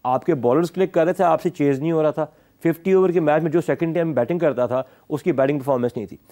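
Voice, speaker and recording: A man's voice: fairly high pitch, fast, dark tone. A man with a Pakistani accent giving sports talk show analysis in an emphatic, explanatory way, often switching between two languages. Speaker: cricket analyst.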